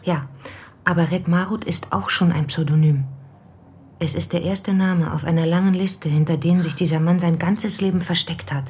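An actress playing a determined, insistent documentary researcher in a German radio drama, speaking precisely. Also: female voice, on the low side, fairly even intonation, normal speed, darkish tone, breathy edge. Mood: impatient.

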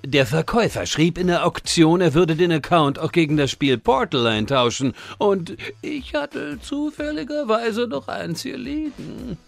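A man playing a naive, sheepish rookie wizard with a creaky voice in a parody radio play. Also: sits high, animated, measured pace, hardly any pauses, dry recording, full character voice. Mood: sheepish, eager.

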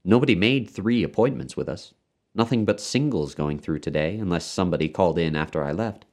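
A man's voice: low-pitched, lively intonation, a little fast, a little mellow. A man voicing a prim, earnest woman clerk with a polite, mildly reproving manner, in a story reading. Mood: matter-of-fact.

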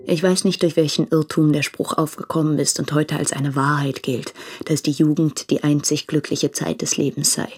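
An actress playing a tender, regretful woman addressing her former lover in a radio play, speaking in a reflective, restrained, deliberate way. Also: low voice, little pitch movement, darkish tone, breathy edge. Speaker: radio drama actor. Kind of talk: intimate literary German radio play monologue.

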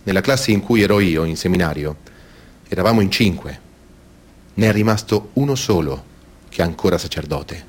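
A man's voice: deep voice, animated, moderate pace, long pauses. A man reading scripted testimony and narration in speech for a documentary radio play. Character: male and female witnesses recounting abuse by priests.

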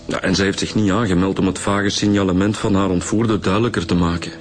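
A male actor plays a junior homicide detective in a Flemish radio drama. His voice is low, his Belgian Dutch talk casual and a little halting, with clear music behind. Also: little pitch movement, close to an everyday voice. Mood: businesslike.

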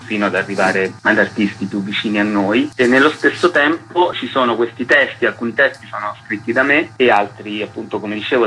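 A man's voice: mid-range voice, pushed and bright, poor audio. A man speaking as a guest artist in a radio interview, explaining his work in detail. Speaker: guest comic book artist and author.

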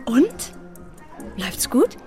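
Breezy, high-voiced young student. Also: female voice, wildly swooping pitch, slow, music in the background, exaggerated voice. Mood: friendly, breezy. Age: young adult. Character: friendly, breezy young female student acquaintance. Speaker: actor. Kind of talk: radio drama acting.

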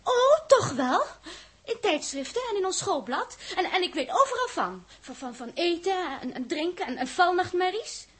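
Very high, girlish, naive young stowaway. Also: sing-song, moderate pace. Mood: eager. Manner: breathless, girlish, stammering, coquettish. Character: naive, chattering teenage schoolgirl stowaway aboard a spaceship. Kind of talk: 1960s Dutch radio drama acting.

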